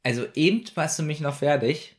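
A man's voice: fairly high pitch, fairly quick. A man co-hosting a podcast in casual, bantering chat. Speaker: podcast co-host.

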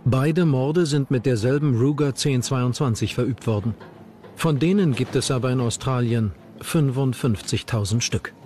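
A man's voice: medium pitch, moderate pace, a little mellow, slight background noise, lightly acted. A man voicing a police firearms examiner in a scripted documentary voice-over. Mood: matter-of-fact.

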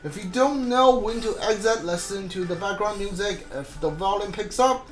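A gamer giving casual playthrough commentary, halting and muttering, at times reading a guide aloud. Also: medium pitch, soft background music.

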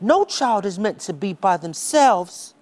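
A man's voice: sing-song, darkish tone. A man on stage playing a lonely young boy who begs to be a sidekick, speaking earnestly in a theatrical spoken-word monologue. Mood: bitter. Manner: earnest, slow and deliberate, rising pitch on key words. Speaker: stage performer. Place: dark smoky theatre stage.

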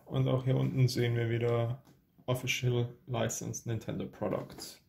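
Gravelly-voiced man presenting an unboxing. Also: mid-range voice, little pitch movement, normal speed, darkish tone, slight background noise. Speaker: unboxing video host. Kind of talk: casual hands-on commentary. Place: indoor room at a tabletop.

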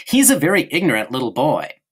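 A snippy teenage girl, done by a male narrator. The voice is pushed high and swings widely. It is a storybook voice. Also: wildly swooping pitch, fairly quick, husky.

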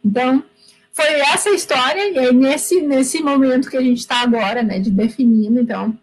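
A woman's voice: high-pitched, moderate pace, poor audio. A woman university lecturer from Brazil, speaking as a guest in a casual, earnest talk on a video call from a room at home. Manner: earnest, animated, frequent tag questions. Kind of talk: conversational talk answering a question. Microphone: laptop or webcam mic on a video call.

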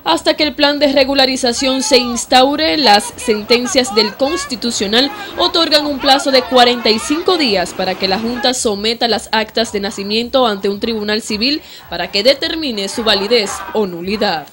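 A woman's voice: fairly high pitch, normal speed, few pauses, background noise. A woman narrating a scripted television news report.

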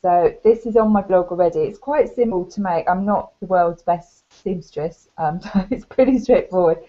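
Low, mellow woman on a video call. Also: moderate pace. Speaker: craft blogger. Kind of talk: casual craft show-and-tell. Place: plain room at home. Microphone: webcam video call. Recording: dull lo-fi video call audio.

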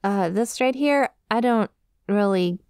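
A woman's voice: medium pitch, unhurried, a little mellow, clean tone, dry recording, studio quality, close to an everyday voice. Scatterbrained, friendly dog-girl study buddy, acted by a woman. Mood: friendly, rambling. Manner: casual, rambling, hesitant, easily sidetracked. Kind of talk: ASMR roleplay, one-sided conversation with listener.

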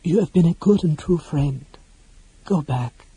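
A man voicing an intense, secretive male mage in a fantasy audiobook, with a quiet hissing, whispering manner. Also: a little high, lively intonation, moderate pace, slightly rough, rough recording. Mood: tender.

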